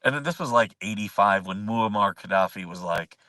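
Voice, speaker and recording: A man's voice: lowish voice, expressive, brisk, sharp tone, dry recording, distant mic. A man hosting a casual live chat show, rambling, chuckling often and answering viewer comments as he goes. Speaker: host.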